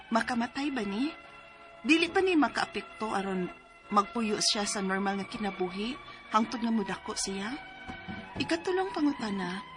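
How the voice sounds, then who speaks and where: An anxious mother in a Cebuano radio drama. Her voice is fairly high and forceful, full of emotion and quick to scold or plead, with faint music underneath. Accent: Cebuano Filipino. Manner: emotional, exclaiming. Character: anxious, short-tempered mother pleading over her sick child. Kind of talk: Philippine radio drama acting.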